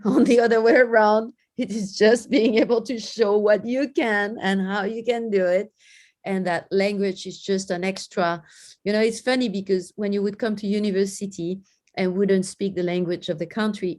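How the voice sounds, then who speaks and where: A woman with a French accent talks as an interview guest. She is earnest and emphatic and leans into her key points. Her voice sits at an ordinary middle pitch. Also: a little mellow. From France. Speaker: guest education researcher. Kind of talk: interview conversation.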